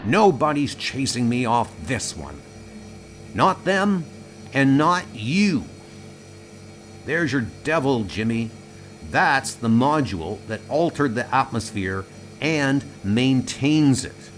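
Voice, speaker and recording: Defiant male colony leader played by a man, arrogant and combative, animated intonation. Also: mid-range voice, slow pace, a little hiss or hum. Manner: combative, scornful.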